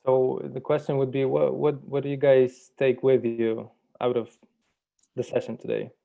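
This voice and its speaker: A man's voice: medium pitch, level tone, moderate pace, creaky. A man casually moderating a group as a workshop facilitator. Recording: slightly dull, lo-fi audio.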